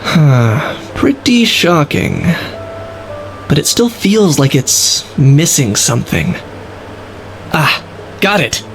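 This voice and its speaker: A cool-headed young man from a video game. His voice sits a little high for a man, and he talks in a dry, measured, matter-of-fact way with wry composure. Music plays clearly behind him. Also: big pitch swings, rough recording. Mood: musing, dry. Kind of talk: English video game voice acting.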